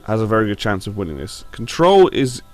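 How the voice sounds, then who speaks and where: A man hosting a gaming show with casual, blunt opinion commentary, slightly croaky from a sore throat. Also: fairly low pitch, very animated, fairly quick, faint music behind.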